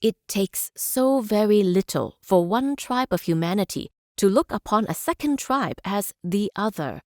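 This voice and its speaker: An actress playing a measured, idealistic chancellor and scholar, speaking formally and deliberately in scripted game speeches. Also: female voice, mid-range voice, lively intonation, darkish tone, dry recording, clean studio sound, natural voice. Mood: earnest.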